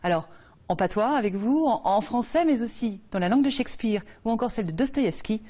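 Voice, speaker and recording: A woman, presenting a regional TV culture segment, lively, with some hesitant fillers. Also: on the high side, fairly quick.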